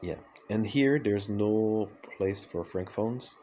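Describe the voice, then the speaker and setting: A man's voice: fairly low pitch, slow pace, mellow, slight background noise. A man whose first language is French, speaking English in a reflective personal vlog, hesitant and full of fillers and restarts. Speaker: vlogger.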